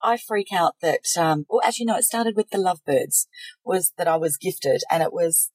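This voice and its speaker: A woman's voice: lowish voice, animated, normal speed, slightly rough, no echo. A woman with an Australian accent co-hosting a casual chat, talking in a confiding, earnest way. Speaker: co-host. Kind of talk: casual chat between co-hosts.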